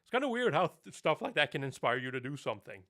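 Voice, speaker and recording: A man's voice: a little high, sing-song, fast talker, pushed and bright. A young man from Norway, a native Norwegian speaker talking in English, chatting casually on a live stream, rambling and laughing often.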